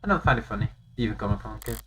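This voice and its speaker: An actor voicing a cool, guarded professional lawyer in a video game, dryly and tersely, in measured lines. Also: medium pitch, lively intonation, background noise, natural voice. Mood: brooding, cold. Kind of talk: voiced visual novel, inner monologue and dialogue. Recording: game audio with music behind. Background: music.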